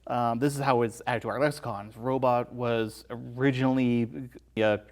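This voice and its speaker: Guy guesting on a film podcast, average pitch, chatty, explains stuff. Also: fairly even intonation, moderate pace. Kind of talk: conversational film analysis.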